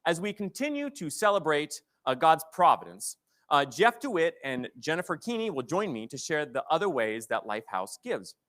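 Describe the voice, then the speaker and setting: Lively, bright-voiced American man hosting. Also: fairly high pitch, normal speed. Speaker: host of a theater's season celebration. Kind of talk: semi-scripted live stage presenting. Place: live theater stage before an audience.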